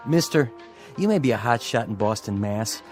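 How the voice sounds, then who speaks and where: Radio drama: male actor as self-assured, folksy bus driver, low voice, faint music. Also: expressive, moderate pace, darkish tone, lightly acted. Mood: stubborn. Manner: folksy, blunt. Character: plain-spoken, stubborn bus driver, sure of himself. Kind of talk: dramatic radio play acting. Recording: radio play with music underneath.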